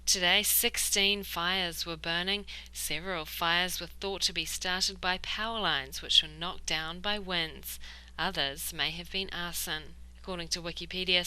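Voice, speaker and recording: A young woman hosting an English lesson show and reading the news in a clear, steady way. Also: fairly low pitch, rough recording. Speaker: English teacher and show host.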